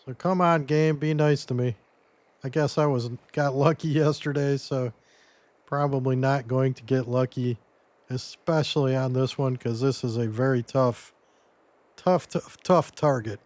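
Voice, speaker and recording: A man losing his voice a little, giving a relaxed step-by-step gameplay tutorial as commentary. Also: mid-range voice, a little slow, no echo. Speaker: game guide creator.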